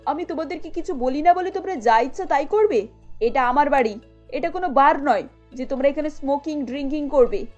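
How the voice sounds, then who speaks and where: Stern older mother-in-law played by a woman, high voice, curt and bossy, cartoon drama, dull sound with music. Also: brisk, a little mellow, close to an everyday voice. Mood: angry, scolding. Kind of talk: cartoon story dialogue. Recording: dull lo-fi audio with music bed.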